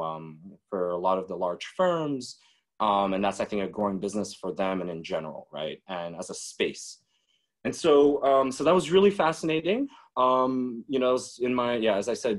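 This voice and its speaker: A man in his twenties from the Czech Republic, speaking as a webinar guest in a loose, casual way with many "you know"s and restarts, heard over a video call. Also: lowish voice. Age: 20s.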